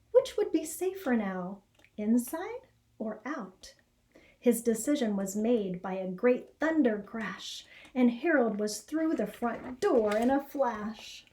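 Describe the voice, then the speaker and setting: Female storybook reader, middle-aged, soft mellow tone, slow measured delivery with expression. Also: on the high side. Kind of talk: read-aloud children's story narration. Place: small indoor classroom or office.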